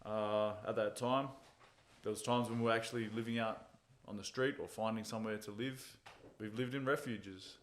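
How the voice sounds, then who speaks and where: A man, an MMA fighter being interviewed, telling stories in a relaxed, casual way and chuckling at his own jokes. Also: lowish voice, moderate pace, slight background noise.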